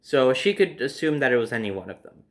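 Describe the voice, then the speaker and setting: A man's voice: medium pitch, big pitch swings, fast. A young man casually telling personal stories, rambling, swearing freely and starting over with "so". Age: young adult. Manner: rambling, profane, starts lines with "so". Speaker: storytime vlogger. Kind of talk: casual storytelling.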